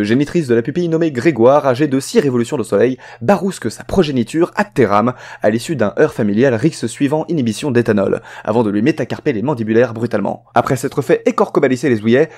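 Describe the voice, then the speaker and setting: A man's voice: mid-range voice, a little mellow. A man hosting a parody news show, reading scripted items in a wordy, mock-formal way. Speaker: host.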